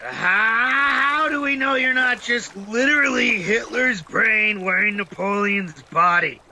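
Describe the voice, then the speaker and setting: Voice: medium pitch, slow pace, heavy background noise. An actor playing a suspicious, wondering adventurer in an audio drama.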